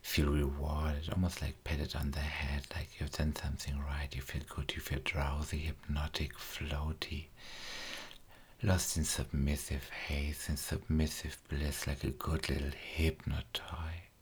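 A man speaking as a hypnotist in a trance recording, slow and soft-spoken, often sinking into whispers with coaxing, repetitive phrasing. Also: extremely low pitch, level tone, slight background noise.

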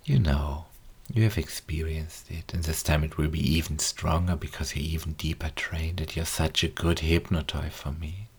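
Male hypnotist, very low husky voice, flat delivery, slow soft repetition with whispers. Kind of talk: guided hypnosis recording.

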